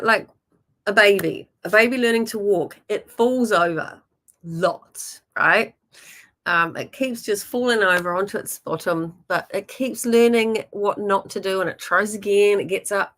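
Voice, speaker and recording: A woman's voice: medium pitch, fairly bright tone. Chatty New Zealand woman painting teacher. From born in Germany, raised in New Zealand. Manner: chatty and encouraging, many ums and you-knows. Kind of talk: casual teaching talk.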